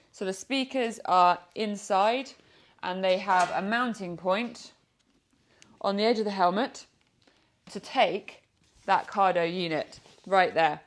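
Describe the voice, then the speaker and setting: A woman with a British accent giving an informal product review in a chatty, explanatory way. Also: mid-range voice. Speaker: product reviewer and motorcycle vlogger.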